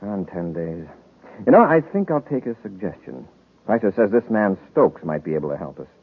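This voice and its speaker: A space commander in a vintage radio serial. His voice is low and husky, with fast, authoritative delivery, heard through a muffled old recording. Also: sing-song, full character voice. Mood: brisk, businesslike. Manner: brisk, authoritative, composed. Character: commanding, sharp-witted male space patrol commander. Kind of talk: 1950s radio serial acting.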